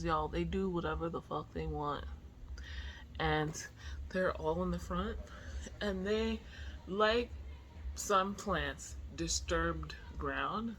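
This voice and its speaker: Slow-talking vlogger with noisy background. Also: mid-range voice, a bit bright. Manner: candid, informal, swears casually. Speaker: book vlogger. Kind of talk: casual chatty video diary. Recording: clear background noise, room ambience.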